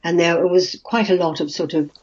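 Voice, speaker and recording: A woman's voice: lowish voice, steady tone, normal speed, a little mellow. An older woman from Australia, now, a guest actress telling stories in a chatty way and laughing easily. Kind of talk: casual interview chat. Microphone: video call. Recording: muffled video call audio.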